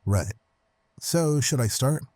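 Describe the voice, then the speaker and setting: Man playing a wry, mellow-voiced night janitor. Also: mid-range voice, expressive, slow, dry recording. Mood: eager, cagey. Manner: wry, folksy, matter-of-fact. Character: wry, folksy male night-shift hospital janitor. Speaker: caller. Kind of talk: horror audio drama, conversational storytelling.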